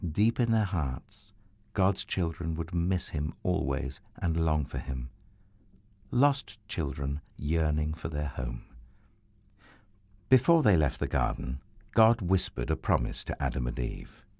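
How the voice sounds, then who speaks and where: A man narrating a children's Bible story in a tender, expressive storybook manner. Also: a bass voice, slow, dry recording.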